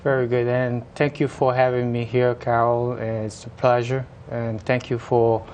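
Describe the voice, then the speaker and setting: A man from Haiti with a Haitian accent, a municipal engineer chatting as a guest in an interview and often pausing on fillers. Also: medium pitch, little pitch movement, darkish tone, a little hiss or hum. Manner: hesitant, many uh fillers and restarts. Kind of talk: casual interview chat.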